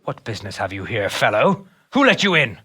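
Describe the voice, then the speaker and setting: A stuck-up game custodian, pompous and snooty, sharp voice that goes all over the place. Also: male voice, on the low side, big pitch swings, a little fast, slightly rough, heavily acted. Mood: dismissive. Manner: pompous, flowery, dismissive. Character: haughty, oily custodian courting a woman, easily affronted. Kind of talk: video game cutscene acting.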